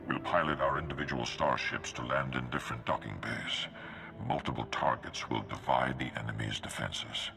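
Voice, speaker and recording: A man voicing a venerable, confident and idealistic Jedi master in a video game, measured and solemn with a gravelly voice. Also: extremely low pitch, fairly even intonation. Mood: solemn, persuading. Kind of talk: video game cutscene voice acting. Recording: loud music behind.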